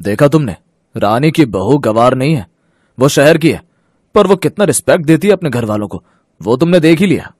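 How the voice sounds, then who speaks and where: A man's voice: mid-range voice, expressive, normal speed, a little mellow, no echo, clean studio sound, natural voice. An actor playing an indignant, fair-minded family man in a Hindi moral story, earnest and emphatic. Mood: reproachful. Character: indignant, fair-minded father and his grown sons.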